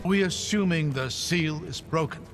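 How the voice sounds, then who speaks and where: Man acting a high-voiced, learned fantasy scholar. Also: normal speed, music in the background, natural voice. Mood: thoughtful. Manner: articulate, formal, thoughtful. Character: curious, learned male scholar companion on a fantasy journey. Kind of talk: dramatic video game acting.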